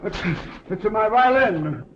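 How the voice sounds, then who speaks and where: Anxious male violinist, very high, swooping indignant voice, old 1930s movie. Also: expressive, slow pace. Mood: defensive. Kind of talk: 1930s film acting. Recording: dull, noisy 1930s film soundtrack.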